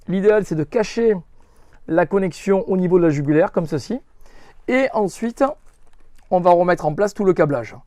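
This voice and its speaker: A man's voice: a little high, mellow, dry recording. A man presents a hands-on product tutorial as a shop presenter, unscripted and instructive, often stressing what matters. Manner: instructive, emphatic, repeats reminders of what matters. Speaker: motorcycle accessory shop presenter.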